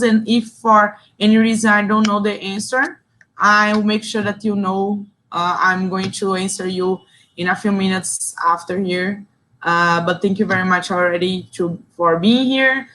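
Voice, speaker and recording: A woman's voice: medium pitch, a bit bright, a little hiss or hum, low-quality recording. Brazilian woman leading an online workshop. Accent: Brazilian Portuguese. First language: Portuguese. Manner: reads chat replies aloud, short praising interjections. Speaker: session facilitator.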